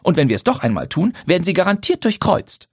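A man reading a wife's lines, voicing a slender, energetic woman who speaks dryly and defiantly. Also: high voice, fast talker, husky, dry recording, voice pushed up, heavily acted. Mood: scornful. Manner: dry, biting, defiant. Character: energetic slender sharp-tongued wife.

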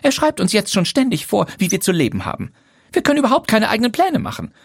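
A man reading a wife's lines, voicing a slender, energetic woman who speaks dryly and defiantly. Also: high-pitched, fast, creaky, slightly rough, dry recording, pitched above the performer's own voice, full character voice. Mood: scornful. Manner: dry, biting, defiant. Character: energetic slender sharp-tongued wife.

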